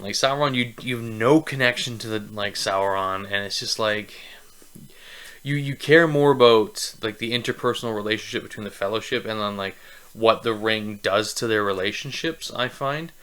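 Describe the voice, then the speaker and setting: A youngish man with a mid-pitched voice talks casually to his viewers. He rambles through his opinions, bluntly and with a joking edge, like a relaxed video host. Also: smooth. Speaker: book review video host. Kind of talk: casual opinionated vlog chat.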